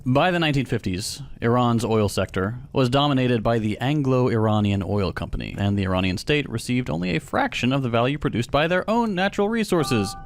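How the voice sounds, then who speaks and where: A man's voice: medium pitch, animated, fairly quick, hardly any pauses, slight background noise. A man co-hosting a podcast, reading a history segment with dry, sarcastic asides. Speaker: podcast co-host.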